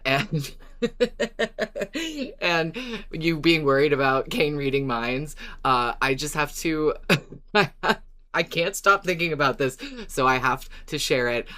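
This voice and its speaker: A man's voice: fairly high pitch, lively intonation, slow, bright, forceful tone. A man co-hosting a podcast in casual, easily amused chat. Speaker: podcast co-host.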